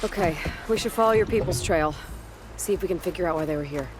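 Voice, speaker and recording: A woman's voice: fairly low pitch, fast talker, lightly acted. A woman playing a guarded, practical young outcast adventurer in a video game, understated and matter-of-fact. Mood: focused, curious. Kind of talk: video game cinematic acting. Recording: loud music behind the dialogue.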